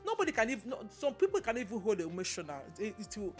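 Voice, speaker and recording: A woman with a Nigerian accent and a loud voice, a guest chatting on a podcast, emphatic and repeating herself for stress. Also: mid-range voice, sing-song, quick pace, soft background music. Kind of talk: casual podcast chat.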